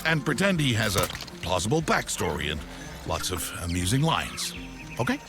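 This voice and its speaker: An actor playing a sheepish, confiding storybook narrator who chats playfully to the listener in comic scripted narration. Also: male voice, on the low side, sing-song, moderate pace. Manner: conspiratorial, playful. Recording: game narration over music.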